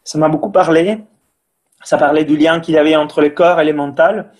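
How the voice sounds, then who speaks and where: Italian man speaking slightly high French. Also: steady tone, normal speed, a little mellow. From Italy. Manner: hesitant, many fillers and restarts, non-native French grammar. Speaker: breathing and cold exposure instructor, guest. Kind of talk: casual interview talk.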